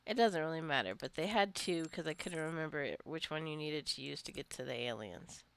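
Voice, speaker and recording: Woman, casual gaming commentator, lowish creaky voice, unscripted and rambling, faint noise behind. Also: brisk, fairly bright tone. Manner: rambling, thinking aloud. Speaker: gaming video creator. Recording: clicking and faint background noise.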